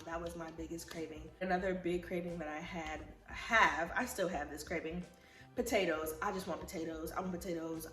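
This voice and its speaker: A woman's voice: lowish voice, fairly bright tone. Congested young woman chatting in an echoey room. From Liberia. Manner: chatty, rambling, frequent fillers. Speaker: vlogger. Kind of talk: casual pregnancy recap vlog. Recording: roomy echo, faint music.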